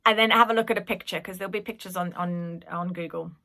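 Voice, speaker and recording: A woman reading a children's story aloud in an expressive, dramatic manner. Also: fairly low pitch, quick pace. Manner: animated, dramatic.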